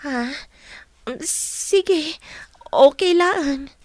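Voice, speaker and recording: A shy young woman in love, high voice, soft and earnest. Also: drawn-out words, dry recording. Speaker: radio drama actress. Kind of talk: broadcast radio drama acting.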